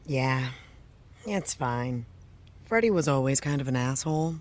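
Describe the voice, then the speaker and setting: A woman's voice: rumbling low, lively intonation, a little slow, darkish tone. A woman playing a jaded, self-doubting American television actress in an audio drama, talking casually and wryly with trailing thoughts. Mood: weary, resigned. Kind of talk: naturalistic audio drama dialogue.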